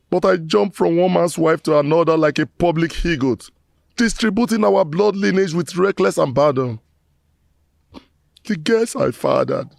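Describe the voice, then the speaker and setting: A man playing a gravelly remorseful supplicant. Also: on the high side, dry recording, studio quality, exaggerated voice. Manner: lamenting, proverbial, pleading. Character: remorseful middle-aged man pleading with ancestors.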